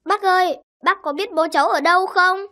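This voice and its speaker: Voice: extremely high pitch, fairly quick. A performer voicing a small, eager, innocent young bird in a Vietnamese cartoon, talking in an earnest childlike way. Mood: pleading. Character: small young male waterbird, eager, innocent, longing for a father. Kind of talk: Vietnamese animated film dialogue. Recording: loud music under the voice. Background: loud music.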